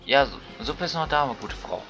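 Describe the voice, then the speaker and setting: A man's voice: mid-range voice, expressive, fairly quick, creaky, sharp tone. A man giving casual let's play commentary, thinking aloud in colloquial speech. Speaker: gaming video creator. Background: game music.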